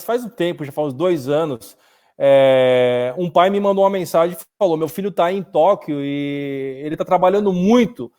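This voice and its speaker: Fast, animated Brazilian man. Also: a little high. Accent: Brazilian Portuguese. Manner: animated storytelling, often says "cara". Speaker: business owner and co-host. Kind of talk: casual live discussion. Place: his office. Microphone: video call.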